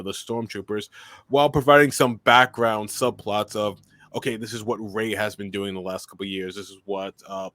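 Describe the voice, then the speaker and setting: A man speaks in a flat, monotone way, his voice sitting a little low. The recording is dry and close, with no room sound around him. He answers interview questions in a relaxed, conversational manner. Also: dry recording. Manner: monotone, steady delivery. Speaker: guest filmmaker and commentator.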